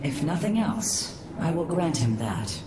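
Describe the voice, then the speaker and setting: A woman's voice: low-pitched, big pitch swings, unhurried, heavy background noise. An actress playing a proud, wry female alien matriarch in a sci-fi game, dry and formal. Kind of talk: dramatic sci-fi game acting.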